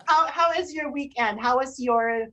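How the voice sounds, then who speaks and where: A woman's voice: high voice, normal speed, fairly bright tone. A woman, enthusiastic and cheery, bantering casually as co-host of a live online radio show.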